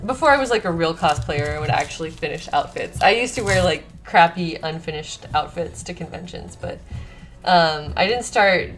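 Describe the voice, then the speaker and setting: A woman's voice: sits low, a bit bright. A young woman chatting casually to viewers as a livestream host, playful and self-deprecating, with game music playing behind her. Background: video game remix music.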